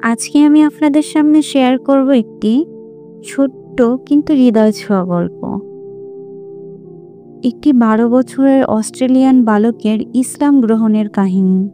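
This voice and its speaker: A woman reading a scripted inspirational story as narrator, in measured, deliberate phrases. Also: fairly high pitch, warm tone, soft background music. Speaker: narrator of religious stories.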